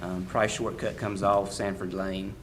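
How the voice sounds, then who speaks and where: A man with a fairly low voice walks a board through property appeals, hesitating with fillers and restarts. Steady noise sits under the recording of the meeting. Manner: halting, many fillers and restarts. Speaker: county appraiser. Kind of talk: presenting at a public board meeting.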